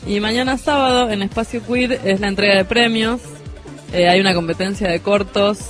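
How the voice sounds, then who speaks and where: A woman's voice: medium pitch, fairly even intonation, moderate pace, soft background music. A woman with a Rioplatense Argentine accent, co-hosting a casual radio chat in an informal, slangy way. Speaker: radio show co-host.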